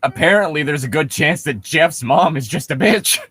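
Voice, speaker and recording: A man's voice: a little high, normal speed, sharp tone, husky. A man co-hosting a mock story reading, switching between reading aloud and sarcastic, profane commentary.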